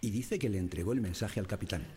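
An actor playing a formal, insistent examiner at an official inquiry in a radio drama, with a husky voice. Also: male voice, on the low side, fast, a little mellow, close to an everyday voice. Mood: stern, probing. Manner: formal, probing, insistent questioning. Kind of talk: radio drama courtroom questioning.

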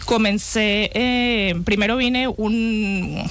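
A woman from Venezuela with a Venezuelan accent, a guest artist talking in a radio interview in a relaxed, reflective way with frequent pauses. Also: mid-range voice, clear, resonant tone.

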